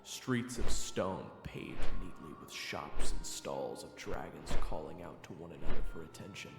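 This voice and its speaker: A man's voice: extremely low pitch, unhurried, creaky, full character voice. An actor playing a chatty young swordsman who narrates a fantasy audio drama in a conversational, dramatic way. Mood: awed. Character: roguish, chatty young swordsman retelling his adventure. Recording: echoey reverb, loud music behind.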